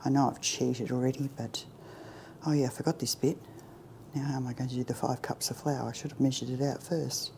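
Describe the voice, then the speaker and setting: A middle-aged woman chatting her way through a baking tutorial in her home kitchen, self-deprecating and often dropping to a soft near-whisper. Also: a bass voice, steady tone, brisk, gravelly, slight background noise, rough recording. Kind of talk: casual cooking demonstration.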